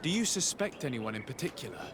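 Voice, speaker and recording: An actor playing a polite, composed noble envoy in a video game, formal, courteous and inquiring. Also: male voice, mid-range voice, big pitch swings, quick pace, noisy background, close to an everyday voice. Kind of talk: video game cutscene acting.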